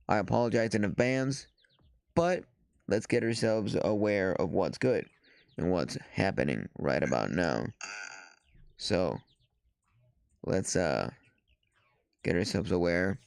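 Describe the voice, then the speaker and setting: Stuffy-nosed man, halting sports chat. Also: sits low, lively intonation, vocal fry, a little mellow, smooth, no echo. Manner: halting, with frequent fillers and restarts. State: stuffy nose after the flu. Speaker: softball journalist hosting a show. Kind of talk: casual commentary and reading news aloud.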